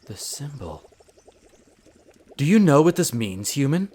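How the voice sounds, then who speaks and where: A man's voice: medium pitch, sing-song, dark tone. A man playing a proud, prickly winter elf archaeologist who talks formally and haughtily in a scripted audio roleplay addressed to the listener. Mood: intrigued, pondering. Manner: haughty, formal, exasperated, theatrical pauses.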